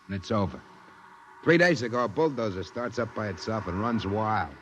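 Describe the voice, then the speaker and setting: A man's voice: fairly low pitch, normal speed, a bit bright, muffled recording, slight background noise, lightly acted. An actor playing a blunt, working-class male security guard in a 1950s radio drama. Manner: blunt and matter-of-fact.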